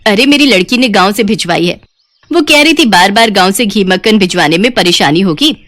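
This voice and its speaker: A woman voicing a crafty, fed-up older village aunt in a cartoon story, speaking in a sly, measured, confiding way. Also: mid-range voice, animated, a bit bright, no echo, natural voice. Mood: reluctant.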